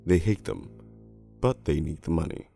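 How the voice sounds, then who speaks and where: A man plays a hard-boiled, corrupt detective narrating a noir storybook. The voice is extremely low and thick with gravel, brooding and deliberate in its cynicism. Music runs clearly behind it. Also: lively intonation, dark tone, heavily acted.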